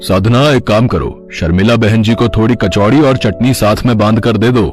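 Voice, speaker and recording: A man's voice: on the low side, moderate pace, faint music behind, lightly acted. A man voicing a courteous middle-aged father of the bride in a cartoon, deferential and obliging. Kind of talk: cartoon voice acting.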